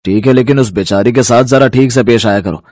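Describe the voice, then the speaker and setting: A man voicing a concerned older father, measured and reproachful, in a cartoon story. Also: medium pitch, a little mellow, no echo, lightly acted.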